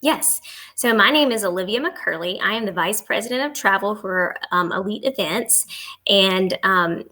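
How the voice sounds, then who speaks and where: A woman with a bright, forward voice of middling pitch answers as an interview guest. She is informal and agreeable, explaining and promoting travel services in a conversational way. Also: animated, moderate pace. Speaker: podcast guest, travel agency executive.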